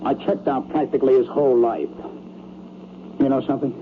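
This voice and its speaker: An actor playing a blunt, uneasy rich man in an old-time radio drama, with halting, defensive, streetwise speech. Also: medium pitch, fairly even intonation, lightly acted. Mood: insistent. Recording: tinny, noisy old radio broadcast.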